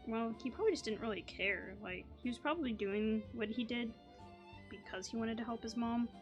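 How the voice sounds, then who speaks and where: A woman's voice: on the high side, a little fast, over music. A woman hosting a gaming video, giving casual, offhand commentary and reactions as she plays. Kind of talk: casual game commentary and reading along.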